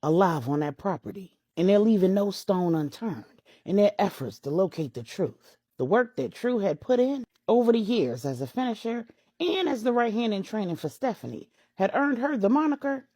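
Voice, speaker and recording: A woman's voice: medium pitch, lively intonation, normal speed, warm tone, slightly rough, no echo, studio quality. A woman narrating a scripted story as a storyteller, with a dramatic, emphatic delivery.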